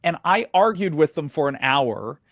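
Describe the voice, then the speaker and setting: A man's voice: dry recording, clean studio sound. A man from Sweden with an American accent, telling his story as a guest in an interview and acting out the conversations. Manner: animated storyteller, acts out dialogue with quick jumps in pitch.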